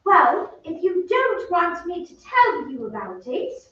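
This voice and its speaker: A woman acting a melodramatic noblewoman in an old-time radio play. She tells spooky tales slowly and dramatically, heard through heavy stage echo, a little far from the mic. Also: extremely high pitch, sing-song, pushed and bright, full character voice. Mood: indignant pride. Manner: breathless, dramatic storytelling. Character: melodramatic young noblewoman of an English haunted castle. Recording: echoey stage, distant mic, muffled, faint noise.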